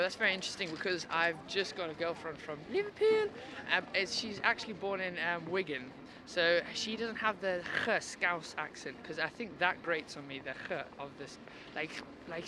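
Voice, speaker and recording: Higher-voiced English man, faint South African hint. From born in South Africa, moved to England as a child. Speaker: interviewee. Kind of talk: casual interview answer. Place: outdoors in an urban area. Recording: noisy outdoor city street with traffic.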